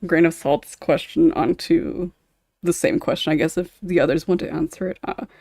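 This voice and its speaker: A woman hosts a casual panel discussion in a fairly low voice. The sound is dry, with very little room on it, and she speaks conversationally as she puts questions to her guests. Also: steady tone, normal speed, vocal fry, dark tone, husky, no room sound. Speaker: podcast host and script writer.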